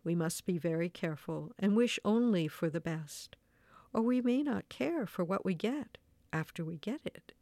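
A woman voicing an excitable, scolding but soft-hearted little old wife in a storybook tale, with an exclamatory manner. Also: fairly low pitch, normal speed, no room sound. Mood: careful.

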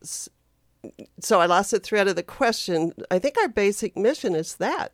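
A woman's voice: mid-range voice, lively intonation, moderate pace, no echo, clean studio sound. A woman in her fifties, a chatty, self-deprecating guest on a radio talk show who tends to drift off topic. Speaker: guest, health promotion specialist.

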